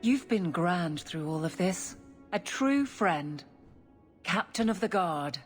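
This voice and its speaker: A woman's voice: fairly low pitch, animated, slow pace, faint music behind. A woman playing a steadfast, no-nonsense guard officer in a fantasy game, speaking firmly and earnestly. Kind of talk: dramatic game cutscene acting.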